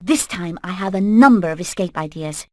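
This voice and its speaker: A woman's voice: on the low side, animated, normal speed, dark tone, no echo, natural voice. A woman voicing a determined hen leader in a video game, earnest and purposeful. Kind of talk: animated video game acting.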